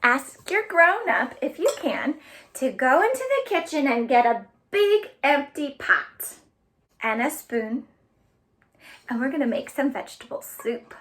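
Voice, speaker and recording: A woman leads a children's storytime in a high, bright voice. She takes her time, talking straight to young listeners in simple phrases. Her delivery is animated and clearly geared to play-along activities. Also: measured pace.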